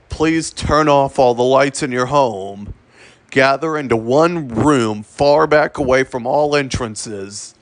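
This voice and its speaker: Slow, solemn man reading emergency alerts. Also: mid-range voice. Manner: formal, solemn, deliberate, repetitive. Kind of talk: scripted emergency broadcast narration.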